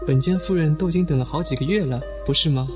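An actor playing a measured, reasonable man who politely corrects others in a Chinese audio detective drama. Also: a little high, natural voice. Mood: firm, polite. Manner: formal, composed, correcting others. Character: measured, reasonable man urging propriety in family inquiry. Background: prominent music.

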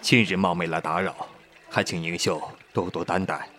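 A man plays a middle-aged noble father in a period audio drama. His voice is deep, his manner formal and courteous, and music is plainly heard behind him. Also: steady tone, normal speed, a bit bright. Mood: courteous, businesslike. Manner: polite, formal. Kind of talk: amateur Chinese costume audio drama.

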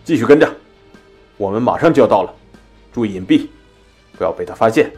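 A man's voice: fairly low pitch, animated, fairly quick, music in the background. A man playing a commanding police squad leader in an audio drama.

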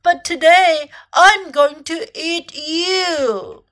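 A woman's voice: very high voice, fairly bright tone, pitched above the performer's own voice, full character voice. A woman voicing a sly, menacing male crocodile in a storybook, drawing out the words stealthily. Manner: slow, drawn-out, stealthy.

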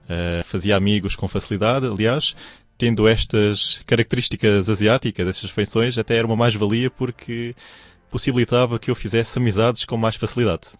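A man's voice: lowish voice, no room sound. A young man with a European Portuguese accent, telling his story in a radio interview, hesitant and restarting often. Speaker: radio presenter, guest.

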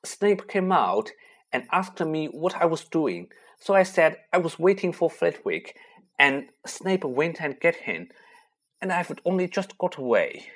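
A reader voicing a clever, anxious schoolgirl who talks briskly. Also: mid-range voice, big pitch swings, fairly bright tone, voice pushed up. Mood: upset.

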